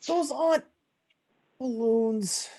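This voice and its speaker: A woman's voice: fairly high pitch, slow, mellow. A woman playing an exasperated, anxious middle-aged male mobster and family father in an amateur comic radio play. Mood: irritated. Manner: exasperated, anxious, slangy wiseguy talk. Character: harried, short-fused middle-aged male mob boss and family father.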